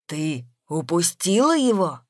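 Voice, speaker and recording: Audiobook role: bullying, suspicious man played by a female reader, lowered voice, forceful accusations, dry recording. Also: very animated, slow, no echo, heavily acted. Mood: outraged, shouting. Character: angry, bullying middle-class businessman, jealous and suspicious.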